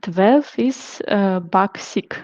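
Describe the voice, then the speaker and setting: A woman's voice: mid-range voice, drawn-out words, a little mellow. Woman on muffled audio. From Russia. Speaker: quiz master. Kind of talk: online event talk.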